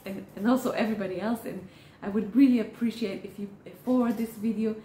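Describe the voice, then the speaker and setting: Mellow, earnest woman. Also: mid-range voice, steady tone, slight background noise. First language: Hebrew. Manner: earnest, encouraging, halting with frequent restarts. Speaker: therapist. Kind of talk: direct-to-camera advice talk. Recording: roomy echo.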